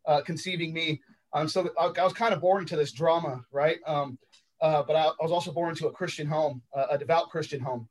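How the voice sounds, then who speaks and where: A man from Los Angeles whose first language is sign language, telling his life story as a podcast guest in a reflective, halting way with many ums and uhs. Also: a little high, poor audio. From Los Angeles, United States.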